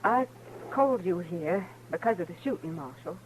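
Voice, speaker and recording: A woman plays a resolute frontier mother in an old radio western. She has a rural American accent and a folksy, earnest, slightly halting delivery, weary yet dignified. The vintage recording has some hum and noise. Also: lowish voice, lively intonation, close to an everyday voice, low-quality recording. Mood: solemn, resolute. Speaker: radio actor.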